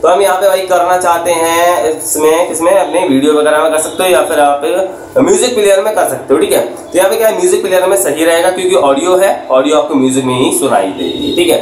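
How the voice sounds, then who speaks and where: A young man explains things step by step in a noticeably high voice. He talks informally and repeats himself, punctuating his steps with little check-in tags. The room is clearly echoey, with a faint layer of noise behind him. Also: quick pace. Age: 20s. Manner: repetitive, with frequent check-in tags. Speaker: tech tutorial YouTuber. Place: small curtained room indoors.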